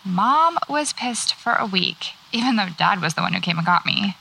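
An actress with an American accent playing a guarded, wry horror writer in a naturalistic audio drama, speaking tersely and dryly. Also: female voice, medium pitch, expressive, moderate pace, gravelly, bright, forceful tone, slight background noise, low-quality recording. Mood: wry, relaxed.

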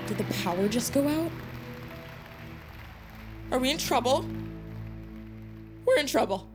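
A woman's voice: high voice, lively intonation, a little slow, over music. An actress playing a spoiled, argumentative, snarky teenage girl in an audio drama.

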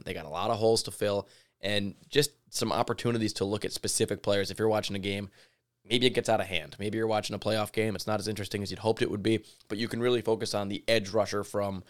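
A man hosting a casual solo podcast, chatty and excitable, his voice a little raspy from yelling. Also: fairly low pitch. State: slightly raspy from yelling at a game. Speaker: podcast host. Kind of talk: solo sports podcast talk.

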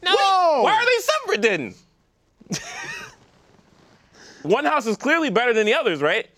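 A man's voice: very high-pitched, wildly swooping pitch, measured pace, bright, forceful tone, no echo. A man co-hosting a podcast in casual group banter, earnest and full of questions. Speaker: podcast co-host.

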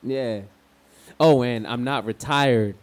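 An actor playing a laid-back, flirty male guest on a radio show in a radio play, terse, casual and halting. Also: mid-range voice, lightly acted. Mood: guarded, casual. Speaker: studio guest.

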